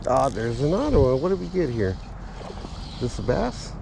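A man giving casual running commentary while fishing at a park pond, talking to an action camera and getting excited over his catches. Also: medium pitch, normal speed, gravelly. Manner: easygoing, talks to himself and the camera, excited by catches. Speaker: fishing vlogger. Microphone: chest- or head-mounted action camera. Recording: faint outdoor noise.

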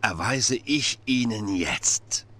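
An actor playing a menacing, middle-aged Soviet dictator in a game cutscene, commanding and theatrical, shifting between hush and shouting. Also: male voice, lowish voice, animated, slow pace, heavily acted. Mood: confiding, menacing. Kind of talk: dramatic game cutscene acting.

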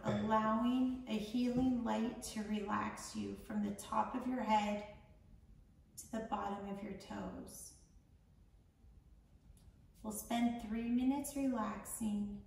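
Echoey, slow-paced woman guiding meditation. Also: mid-range voice, gravelly. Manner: soothing, gentle, measured with long pauses. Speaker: meditation guide, founder of a yoga charity. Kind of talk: guided meditation. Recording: echoey room, distant mic, faint noise.

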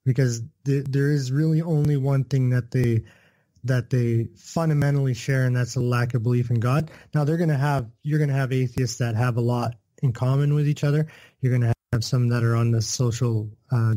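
A man's voice: mid-range voice, warm tone, dry recording. A man from northern Canada with a Canadian accent, a podcast guest earnestly explaining his views in casual conversation, often restarting his words. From Canada, Thunder Bay.

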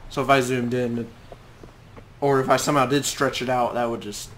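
A man's voice: medium pitch, flat delivery, a little fast, fairly bright tone, slight background noise. A young man hosting a let's play, rambling and apologetic in casual gameplay commentary. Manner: rambling, self-conscious, apologetic. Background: game music.

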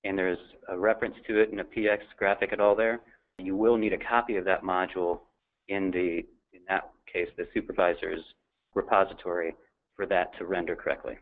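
A man's voice: deep voice, little pitch movement, a little fast. A man presenting a technical webinar, explaining things in an informal, matter-of-fact way. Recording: thin phone-like line.

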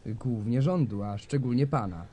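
A man's voice: mid-range voice, normal speed, mellow, close to an everyday voice. A man acting an obsequious secretary to a dictator in a satirical radio drama, deferential and coolly servile.

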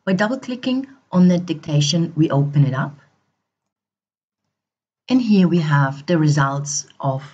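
A woman narrating a product demonstration step by step in a halting way, as a voice-over. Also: low voice, darkish tone, slightly rough. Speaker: software demo presenter. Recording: dull, slightly muffled voice-over.

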